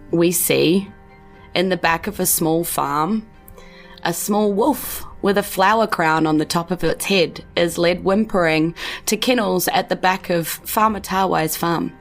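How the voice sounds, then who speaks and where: A woman's voice: on the low side, creaky. A woman with a New Zealand accent, hosting and narrating a tabletop game podcast as game master.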